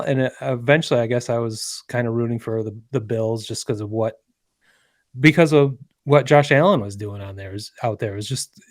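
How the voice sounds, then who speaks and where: A man in his forties hosting a podcast, talking in an earnest, halting way with many restarts. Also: mid-range voice, dry recording, studio quality. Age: 40s. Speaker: podcast host. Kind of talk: casual podcast chat.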